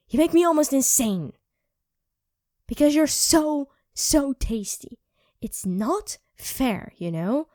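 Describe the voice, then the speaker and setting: A woman's voice: high voice, no room sound, studio quality, full character voice. Woman playing a snappy, whispering vampire. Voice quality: drops into soft whispers. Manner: sharp, snappy and sarcastic. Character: irritable young female vampire craving blood. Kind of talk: ASMR roleplay.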